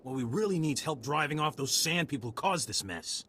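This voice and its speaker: A man's voice: a little high, animated, a little fast, bright, forceful tone, a little hiss or hum. A man voicing a blunt, feisty frontier militiaman with a folksy manner in a video game. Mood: frustrated.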